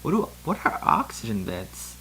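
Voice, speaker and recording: A man's voice: on the high side, wildly swooping pitch, moderate pace, sharp tone, slight background noise. A man hosting a comedic fan fiction reading, mixing theatrical, mock-serious narration with casual commentary.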